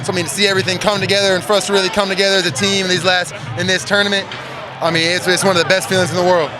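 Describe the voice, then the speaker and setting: A young man, a college basketball player, giving emotional, halting answers in a postgame interview with lots of fillers. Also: sits high, level tone. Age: young adult. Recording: noisy celebration crowd and music behind.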